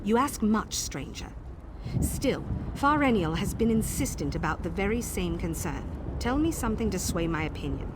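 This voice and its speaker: An actress playing a dignified, courteous Wood Elf elder in a video game, formal, solemn and measured. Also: female voice, mid-range voice, animated, heavy background noise, lightly acted. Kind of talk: video game quest dialogue.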